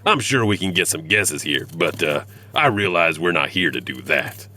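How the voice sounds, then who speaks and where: A man's voice: deep voice, lively intonation, moderate pace, bright, forceful tone, exaggerated voice. A man playing a folksy, grumbling, joking janitor who hosts a horror anthology in a theatrical monologue. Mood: jovial. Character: chatty grumbling janitor peeling potatoes, spooky story host.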